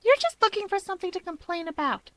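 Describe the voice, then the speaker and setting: A woman's voice: extremely high pitch, big pitch swings, fast, a bit bright, dry recording, pitched above the performer's own voice, full character voice. A woman voicing a snippy, self-assured, playfully haughty young woman who retorts peppily in a fan fiction audiobook. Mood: indignant.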